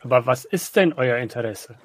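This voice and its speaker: A man's voice: medium pitch, animated, normal speed, no room sound. A man playing a tabletop roleplaying game, improvising in-character dialogue in formal, wordy phrasing.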